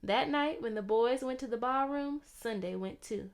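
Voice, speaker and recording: A woman reading a children's story aloud in an expressive, theatrical way. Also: fairly high pitch, normal speed. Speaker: storybook reader.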